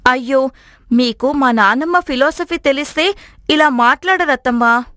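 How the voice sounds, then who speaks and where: A woman's voice: high voice, normal speed, fairly bright tone, no echo, studio quality, voice pushed up. A woman voicing an earnest, stubbornly philosophical young bride in a moral story cartoon. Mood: earnest, insistent.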